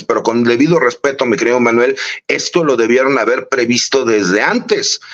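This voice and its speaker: A man in his late forties, a lawyer, giving casual analysis in an interview and speaking warmly and familiarly to the host. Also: mid-range voice, dull audio. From Mexico. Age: late 40s. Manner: warm, conversational, often says "mi querido Manuel". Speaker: lawyer and political analyst guest.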